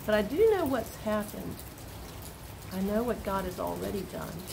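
An older woman giving a gentle spoken devotional, earnest and unhurried, recorded close on a phone indoors with rain falling outside. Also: mid-range voice, gravelly. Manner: slow, soft and earnest, with pauses. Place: indoors, small room by a door, rain outside. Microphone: phone camera at close range. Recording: steady rain noise behind.

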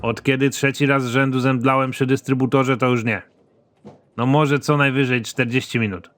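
A man playing a gruff, trigger-happy, hard-drinking space soldier in an animated comedy, with a crude, deadpan, grudging delivery. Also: mid-range voice, moderate pace, soft background music, close to an everyday voice.

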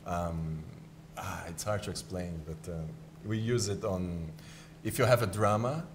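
A man's voice: sits low, a little slow, background noise. A man in his thirties from Brazil with a Brazilian Portuguese accent, a guest explaining words in casual chat, laughing often and restarting sentences, recorded on a studio mic. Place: studio set with shelves. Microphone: studio mic on stand.

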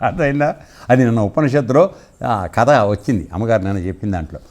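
A man's voice: mid-range voice, quick pace, a little mellow, a little hiss or hum. A man giving a spiritual discourse in a conversational, didactic way, often checking that listeners follow.

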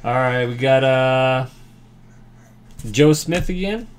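A man hosting a card break, reading out names as he opens packs and chatting with viewers, with sudden bursts of excitement. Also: mid-range voice, slow, a little hiss or hum. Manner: reads names aloud, animated bursts of excitement, chats with viewers. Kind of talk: casual live card-opening commentary. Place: indoor room, hands over a table of card boxes.

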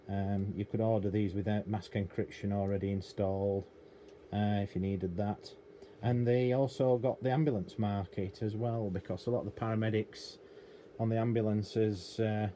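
Middle aged british bloke explaining kit at his workbench, lowish voice, bit rambly, some background noise. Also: dark tone. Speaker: radio technician and hobbyist presenter. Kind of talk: unscripted hands-on demonstration, casual explanation. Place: indoor cluttered electronics workbench. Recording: noticeable room noise behind voice.

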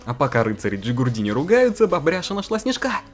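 Playful young man with a lively voice. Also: on the high side, quick pace, faint music behind. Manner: playful, giggly. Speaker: let's-play host. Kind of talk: reading a visual novel aloud and chatting.

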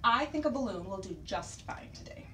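A woman's voice: a little high, expressive, moderate pace, pushed and bright. A woman voicing a flustered, exasperated mother in a storybook reading. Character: flustered grown-up mom, exasperated. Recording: roomy distant recording with faint hiss.

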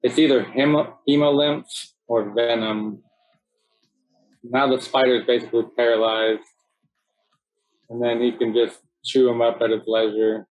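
A man's voice: mid-range voice, measured pace, long pauses, rough recording. Laid-back man explaining cave life. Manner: relaxed, chatty, many fillers like "so yeah" and "um". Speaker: city cave biologist presenting. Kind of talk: casual narrated slideshow talk. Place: indoors at home, video call.

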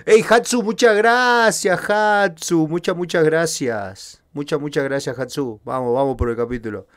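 Argentine man streaming, slow and high. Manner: joking, loud outbursts, sings along. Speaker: streamer reacting to a series. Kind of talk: casual live-stream reaction chat.

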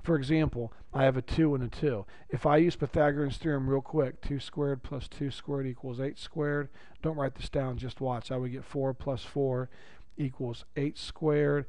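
A man with a raspy voice teaching a geometry lesson, upbeat and chatty, repeating key phrases for his students. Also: medium pitch, steady tone, normal speed, mellow, dry recording. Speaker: math teacher. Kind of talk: recorded classroom lecture.

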